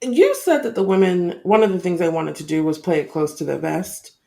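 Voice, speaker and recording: A woman's voice: on the low side, a little fast, creaky, dark tone. A woman co-hosting a podcast, giving her opinions in a casual, explanatory way and laying out her points one after another. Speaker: podcast co-host.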